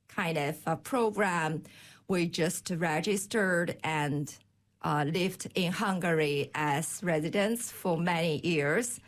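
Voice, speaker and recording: A woman in her thirties or forties from Inner Mongolia, whose first language is Chinese, speaking with many hesitant fillers and restarts. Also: on the low side. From Inner Mongolia, China. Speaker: guest at an open day. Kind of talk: casual conversation.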